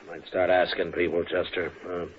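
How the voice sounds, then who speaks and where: An American actor playing a stern, watchful frontier marshal in a Western radio drama, speaking in a terse, grave, measured way. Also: male voice, sits low, flat delivery, natural voice. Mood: concerned. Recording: muffled old radio recording with hiss.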